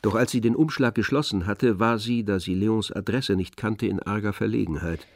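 A man narrating a literary radio drama in a measured, composed, knowing manner. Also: lowish voice, darkish tone, slight background noise, lightly acted.

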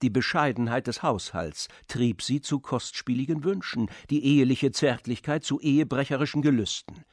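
A man's voice: medium pitch, moderate pace, few pauses, a little mellow, no echo. Male literary narrator, very dry sound. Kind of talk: scripted literary radio play narration.

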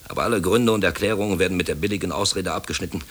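An actor playing a brusque, overworked middle-aged company director in a German radio play, officious and self-pitying. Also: male voice, low voice, very fast, a bit bright. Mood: bitter, brooding. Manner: brusque, officious, brooding when alone. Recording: hissy old radio play recording.

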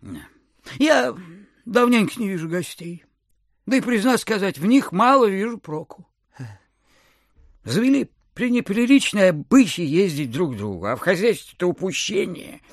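A man playing a miserly, suspicious landowner in his sixties in an audio drama, with a querulous, husky, rambling delivery. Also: sits high, animated, moderate pace, dry recording, studio quality. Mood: grumbling. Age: 60s. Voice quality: husky, throat-clearing old man's voice. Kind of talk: theatrical audio drama acting.

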